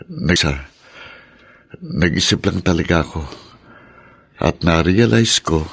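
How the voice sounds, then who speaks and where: A man's voice: low-pitched, animated, takes it slowly, creaky, breathy edge, full character voice. A man playing a devoted suitor who is ready to become a father, speaking hesitantly and from the heart. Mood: earnest. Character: earnest devoted man, soon-to-be husband. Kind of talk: radio drama acting.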